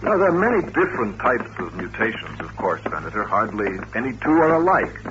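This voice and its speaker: An actor playing a courteous, patient doctor who runs an institution, explaining things politely and with measure in an old-time radio drama. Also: male voice, on the low side, sing-song, brisk, over music. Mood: earnest, explanatory. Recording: dull old radio recording, faint hiss.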